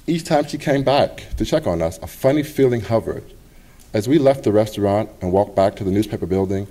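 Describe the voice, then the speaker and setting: A middle-aged man reads his own essay to a library audience, his voice low and mellow. He takes it at a measured, expressive pace and lets pauses sit between phrases. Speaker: author reading his essay. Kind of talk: public reading of a personal essay. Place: public library reading event.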